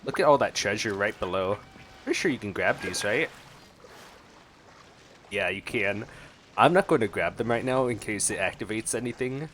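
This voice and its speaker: A man's voice: on the low side, animated, normal speed, long pauses, a bit bright, a little hiss or hum. A man streaming a game with casual reaction commentary, given to wry, joking asides. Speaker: gameplay streamer. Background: faint game music.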